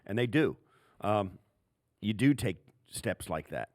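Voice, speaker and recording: A man's voice: lowish voice, animated, slow, a little mellow. A man co-hosting a sports podcast, offering analysis in casual, conversational talk.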